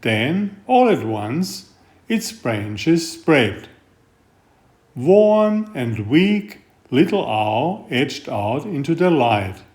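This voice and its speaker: A man reading a picture book aloud in a gentle, unhurried storytelling manner. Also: a little high, very animated. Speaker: storybook reader. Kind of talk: slow read-aloud picture book narration.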